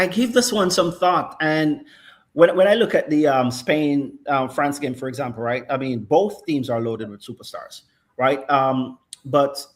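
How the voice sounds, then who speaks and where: A middle-aged man analysing football in casual chat, thoughtful and checking in with "right?" often. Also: mid-range voice. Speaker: football pundit.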